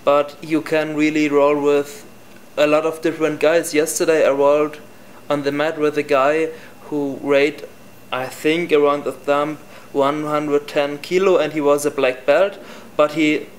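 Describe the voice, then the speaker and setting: Young German man, non-native English, pauses to find words, a touch higher-pitched. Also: little pitch movement, slight background noise, low-quality recording. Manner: halting, searching for words in English. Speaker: interviewee. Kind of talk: casual interview answers.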